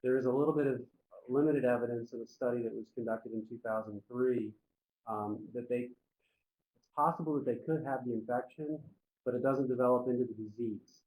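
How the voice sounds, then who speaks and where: A man's voice: medium pitch, a little fast, a little mellow, rough recording. A man presenting an informal public health briefing on a conference call, explaining in plain, folksy wording.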